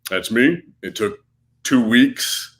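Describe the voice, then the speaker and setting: A man's voice: mid-range voice, lively intonation, slow pace, a bit bright. A man appearing as a writer guest on an interview podcast, chatting casually in a self-deprecating, joking manner. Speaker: comic book writer, guest.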